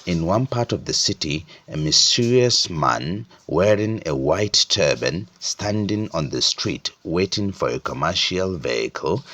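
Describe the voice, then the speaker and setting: A man with a Nigerian accent narrating a folktale as an oral storyteller, in a descriptive, deliberate way. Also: low voice, bright, forceful tone.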